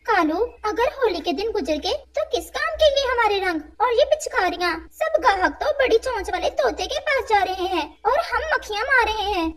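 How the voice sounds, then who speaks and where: A crooked shopkeeper crow wife from a cartoon, voiced by a woman. She speaks very high with animated swings, wheedling and scornful by turns as she schemes and flatters. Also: moderate pace, hardly any pauses, full character voice. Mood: worried complaining.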